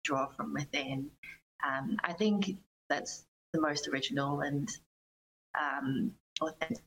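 A woman's voice: low voice, steady tone, takes it slowly, slightly rough, rough recording. A young woman answering interview questions as a singer-songwriter, speaking conversationally in a reflective, earnest way. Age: young adult.

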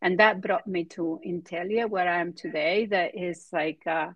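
A middle-aged woman with an Argentine accent and Spanish as her first language, answering interview questions conversationally in halting phrases with repeated words. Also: lowish voice, fairly even intonation, no room sound, muffled recording. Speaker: interview guest, biotech executive.

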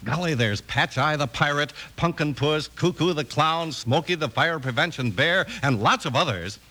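A man's voice: animated, moderate pace, sharp tone. An American man delivering an enthusiastic, exclamatory commercial in 1950s radio style. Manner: exclamatory, enthusiastic sales pitch. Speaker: commercial announcer. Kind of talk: excited 1950s radio advertisement.

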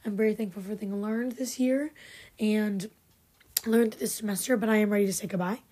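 Raspy sleepy woman chatting casually. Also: mid-range voice, fairly even intonation, quick pace, dark tone. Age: 20s. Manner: chatty, sarcastic, trails off. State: raspy, just woke up. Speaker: podcast host. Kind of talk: casual chat. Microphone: filmed podcast setup.

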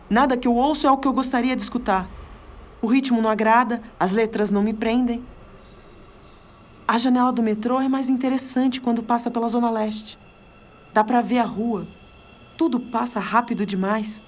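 A woman's voice: a little high, a little mellow, lightly acted. A woman playing an anxious, weary, alienated commuter in an introspective, confessional audio drama monologue. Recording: clear background noise with ambient sound.

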